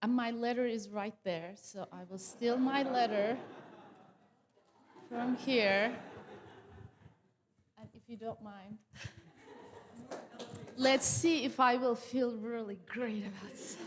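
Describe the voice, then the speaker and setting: A woman's voice: fairly high pitch, slow, pauses often. A woman from Turkey, reading a gratitude letter aloud in an earnest, formal way at a public farewell event. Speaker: audience member. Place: event hall. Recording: long hall reverb.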